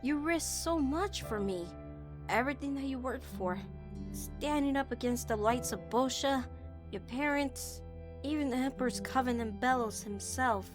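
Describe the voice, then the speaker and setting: A woman voicing a gentle, remorseful teenage human girl in an animated-style comic dub, apologetic and sincere. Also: high voice, moderate pace, music in the background, lightly acted. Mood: grateful, tender.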